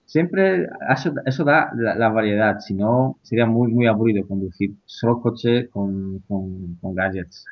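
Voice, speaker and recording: A man's voice: on the low side, measured pace, hardly any pauses. A man taking part as a guest in a casual discussion, hesitant, with many fillers and restarts. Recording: muffled lo-fi audio.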